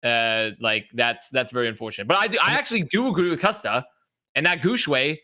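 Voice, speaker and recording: A man on a podcast panel in casual esports discussion, halting and often interrupting himself as he works through his point. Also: medium pitch, very animated, sharp tone.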